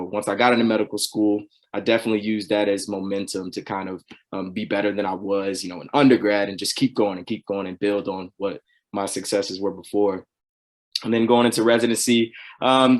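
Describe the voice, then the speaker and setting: Earnest young man, lowish voice. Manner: earnest, reflective, many fillers like "you know". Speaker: panelist, medical student. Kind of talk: casual panel talk on a video call. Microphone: video call.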